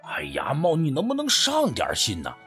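A man's voice: a little high, huge pitch swings, normal speed, fairly bright tone, soft background music, full character voice. A man voicing a sly, teasing, superstitious friend who wheedles and drawls in an audiobook story. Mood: coaxing, mysterious.

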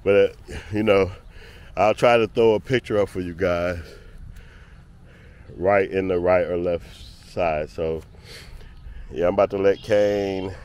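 A man's voice: low-pitched, steady tone, unhurried, pauses often, darkish tone, clean tone. A man vlogging casually on a walk outdoors in a park with his dog, rambling through his thoughts and calling to the dog now and then. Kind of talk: casual walk-and-talk vlog.

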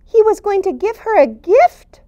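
Children's puppet sidekick: tiny curious monster, bilingual, squeaky-high, swooping intonation. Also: female voice, big pitch swings, measured pace, dark tone, dull audio, heavily acted. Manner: switches between Spanish and English, simple childlike phrasing.

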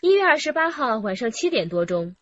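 A woman's voice: fairly high pitch, big pitch swings, a little fast, no room sound, lo-fi sound. A woman playing a composed, authoritative police detective with a probing manner in a radio crime drama.

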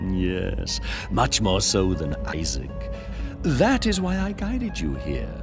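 A man's voice: low-pitched, big pitch swings, slow, creaky, music in the background, heavily acted. A man playing a sinister, gloating servant of death in a video game, with archaic, mocking, theatrical delivery. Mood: smug, sinister.

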